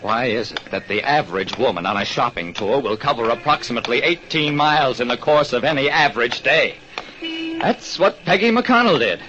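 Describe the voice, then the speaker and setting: A man's voice: mid-range voice, expressive, a little fast, music in the background, natural voice. An actor playing a hard-luck, wry, world-weary private detective who narrates his case in an old radio drama. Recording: dull, noisy old radio recording.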